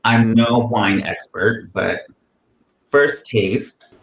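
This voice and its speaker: Sarcastic, slow-talking male co-host. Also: on the low side, a bit bright. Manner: playfully sarcastic, mock-bitter. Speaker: podcast co-host. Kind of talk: casual chat. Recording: echoey room, faint ambient noise.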